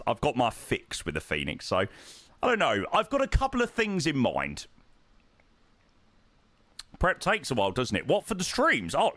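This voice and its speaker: A man with a hoarse, croaky voice, chatting casually to his stream audience and rambling with lots of ums. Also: lowish voice, a bit bright, no echo. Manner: rambling, self-interrupting, frequent ums. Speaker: flight sim streamer.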